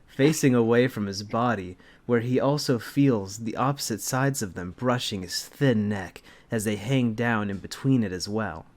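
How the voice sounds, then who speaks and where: A man's voice: lowish voice, moderate pace, few pauses, slight background noise. A man reading fan fiction aloud in a mock dramatic style, with casual, sarcastic asides and a readiness to break into giggles.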